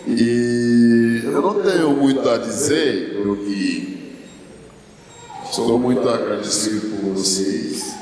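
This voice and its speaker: A Nigerian-accented man in his forties addresses a seminar from some distance in a very echoey hall. He speaks extremely slowly and haltingly, often searching for the next word and slipping in English fillers. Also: medium pitch, a crowd in the background. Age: 40s. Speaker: guest speaker, student. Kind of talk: informal speech to a seminar audience. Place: large indoor seminar room with reverb.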